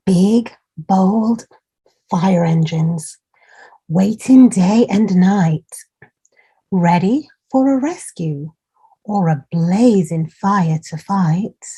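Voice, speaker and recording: A woman reads a picture book aloud to small children at an unhurried pace. Her voice is mellow and a little husky, and she adds encouraging asides about the details as a storytime host would. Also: medium pitch. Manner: encouraging, pointing out details to young listeners.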